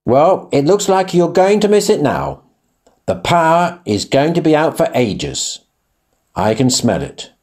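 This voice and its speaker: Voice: mid-range voice, big pitch swings, unhurried, darkish tone. A performer voicing a grumpy, grumbling male grizzly bear in a radio pantomime. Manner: grumbling, sarcastic.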